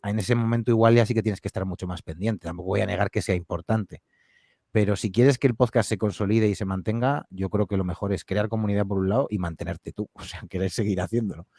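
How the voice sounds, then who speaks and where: A man talks quickly and loosely about his podcasting work. His voice sits a little low, and he rambles through fillers and restarts. The sound is close and dry, with no room around it. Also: warm tone, dry recording. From Spain. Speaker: horror audio fiction podcaster. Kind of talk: relaxed conversational interview answers.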